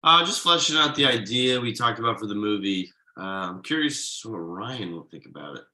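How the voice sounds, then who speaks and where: A man's voice: on the low side, lively intonation, normal speed, bright, forceful tone. A man reading the part of a forbearing, drily sarcastic husband and father in a conversational comedy table read, with roomy echo. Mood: dry. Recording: roomy, echoey online video call.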